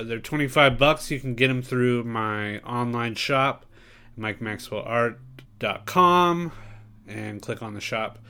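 A man's voice: medium pitch, fairly bright tone, smooth. Congested man hosting a casual podcast. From San Diego area. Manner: loose, rambling, with many fillers and swearing. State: congested, nasal. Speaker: podcast host.

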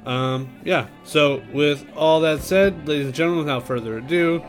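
A man's voice: on the high side, moderate pace, faint music behind. A man hosting a podcast, talking in a loose, rambling way with lots of fillers and some swearing, his voice stuffed up and nasal from a cold. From San Diego area. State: congested, nasal. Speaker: podcast host. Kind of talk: casual podcast chat.

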